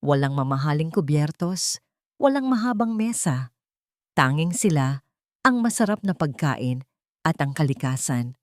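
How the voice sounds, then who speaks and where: Filipino lady narrating a romance drama, kinda low voice, really dry close sound. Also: big pitch swings, unhurried, long pauses, no echo. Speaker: storyteller. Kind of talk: scripted dramatic narration of a love story.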